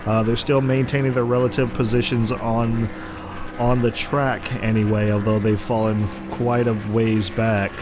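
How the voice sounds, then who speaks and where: Hoarse male gaming commentator with a congested voice, casual play-by-play, loud game music and engines behind him. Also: medium pitch, a little slow. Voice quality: rough, congested voice. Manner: excited play-by-play, rising sharply at crashes. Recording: game music and car noise underneath. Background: racing game engine noise and music.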